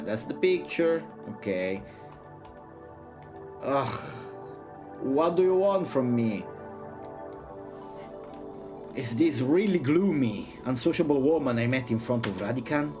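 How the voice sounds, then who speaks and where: Man, very lively pitch, theatrical read-aloud, irreverent asides, loud-and-clear music behind. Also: takes it slowly, long pauses. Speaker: streamer reading a visual novel aloud. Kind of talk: expressive read-aloud with side remarks.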